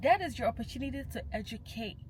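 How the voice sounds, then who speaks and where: A woman's voice: fairly high pitch, lively intonation, quick pace, darkish tone, a little hiss or hum. Passionate young woman. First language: Yoruba. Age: young adult. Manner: passionate and emphatic, slipping into Yoruba phrases. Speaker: vlogger. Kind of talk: casual vlog monologue.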